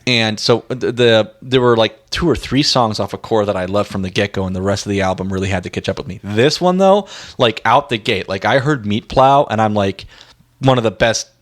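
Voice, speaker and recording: Low-voiced man in opinionated music chat. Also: fairly quick. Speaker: music podcast co-host.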